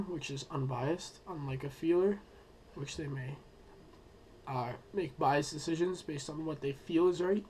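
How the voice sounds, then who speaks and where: A young man who is sick and losing his voice, giving an unscripted school presentation with many pauses and fillers. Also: fairly high pitch, a little mellow, a little hiss or hum. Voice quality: hoarse. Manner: hesitant, many ums and uhs, thinking aloud. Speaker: student presenting a school project.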